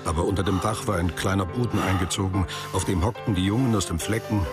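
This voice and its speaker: A man's voice: low voice, steady tone, fast talker. A man narrating a literary radio drama from a script. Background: music.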